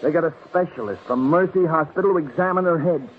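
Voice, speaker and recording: Casting: man to play firm, pragmatic police boss, slightly high voice, slangy, old crime radio show, muffled sound. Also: quick pace, a little hiss or hum, lightly acted. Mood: skeptical. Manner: matter-of-fact, slangy. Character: blunt, pragmatic police superior, friendly but firm. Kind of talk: mid-century radio crime drama acting.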